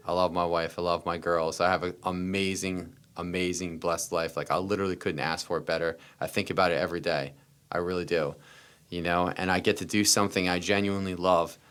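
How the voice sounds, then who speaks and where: A man's voice: deep voice, fairly bright tone, clear, resonant tone. An American man telling personal stories as a podcast interview guest, earnest and repeating phrases for emphasis. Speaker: interview guest, startup founder.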